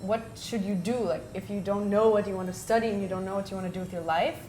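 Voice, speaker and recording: American female, informal personal storyteller, conversational delivery, echoey home room with noticeable background rumble. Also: fairly even intonation, fast talker, dark tone. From United States. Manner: conversational, with frequent "you know" and "like". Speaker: guest storyteller and host of the evening at home. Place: open-plan room at home.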